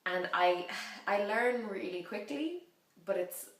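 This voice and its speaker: A woman's voice: mid-range voice, animated, slow. A half-French woman vlogging about learning a language in a casual, rambling, self-correcting way. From half French, French mother. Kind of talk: casual chatty vlog. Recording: roomy echo.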